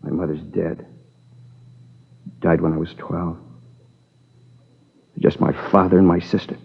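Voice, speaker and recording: Gravelly, tormented young man. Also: deep voice, little pitch movement, heavily acted. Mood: sad, subdued. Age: 20s. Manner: anguished, defensive, halting. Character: tormented young man confessing to killing his lover. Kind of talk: 1950s radio crime drama acting. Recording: muffled old 1950s radio recording.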